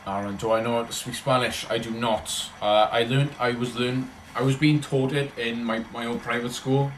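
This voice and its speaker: A man's voice: mid-range voice, fairly even intonation, moderate pace, a bit bright, echoey room, a little hiss or hum, poor audio. A man with a Welsh accent, chatting casually and rambling on a livestream as he answers his viewers. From Wales. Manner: rambling, chatty, talks in loose run-on thoughts.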